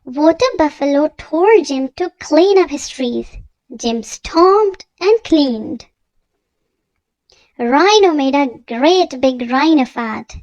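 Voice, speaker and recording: Slow, high-pitched, childlike storybook narrator. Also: no echo, lo-fi sound. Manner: expressive, deliberate storytelling. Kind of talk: children's read-aloud narration.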